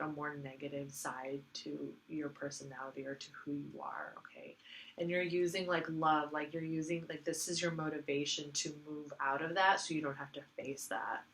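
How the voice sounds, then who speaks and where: A woman's voice: low voice, creaky, fairly bright tone, slight background noise, poor audio. A woman giving a casual tarot reading, congested from allergies, rambling with lots of fillers and repeating herself. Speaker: tarot reader.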